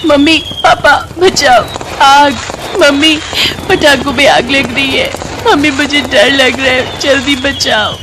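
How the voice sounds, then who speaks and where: A woman voicing a pampered, excitable rich little girl in a storybook tale, with an eager, childlike manner. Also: high voice, slow pace, background noise, pitched above the performer's own voice. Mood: frightened.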